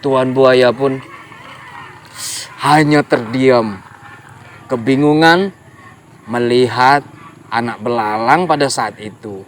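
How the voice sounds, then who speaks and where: Slow, lively male children's storyteller. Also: medium pitch, a little hiss or hum. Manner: lively, addresses young listeners directly. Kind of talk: children's folk-tale storytelling.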